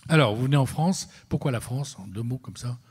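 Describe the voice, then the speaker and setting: A man's voice: mid-range voice, lively intonation, brisk, darkish tone, husky. A man interviewing an author onstage, often finishing his guest's sentences. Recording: long reverb of a hall.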